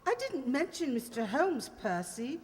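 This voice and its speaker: A woman playing a suspicious, knowing society lady, arch and drawn-out, in old-time radio mystery style. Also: sits high, sing-song. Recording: echoey stage hall.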